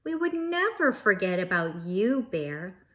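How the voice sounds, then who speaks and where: A woman voicing a gentle mother bear who explains things patiently in a children's storybook. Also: mid-range voice, very animated, measured pace. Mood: reassuring.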